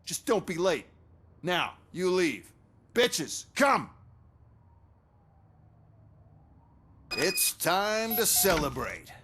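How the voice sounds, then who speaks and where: An actor playing a smug, flamboyant corporate executive in a game cutscene, sarcastic, showy and condescending. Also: male voice, fairly high pitch, pushed and bright. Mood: smug, mocking, then gleeful, impatient. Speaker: executive and product presenter. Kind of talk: theatrical video game cutscene acting.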